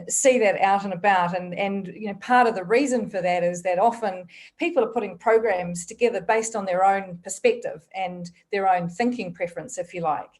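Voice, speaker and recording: A woman with a New Zealand accent giving an online workshop presentation, chatty and quick, with many fillers and restarts. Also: on the low side, a little mellow. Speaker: guest presenter.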